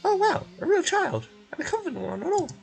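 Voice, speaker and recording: A man's voice: very high-pitched, sing-song, fairly quick, vocal fry, soft background music, voice pushed up, heavily acted. A man voicing a small, cheeky, childish game companion who gets indignant easily and refers to itself by name. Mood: boastful. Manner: childish, talks of self in third person.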